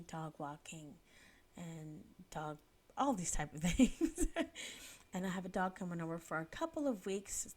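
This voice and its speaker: A woman's voice: lowish voice, darkish tone. A woman in her thirties, a little sniffly from a cold, chatting casually and laughing now and then as she narrates. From United Kingdom. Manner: chatty, laughs often, self-deprecating. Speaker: planner vlogger.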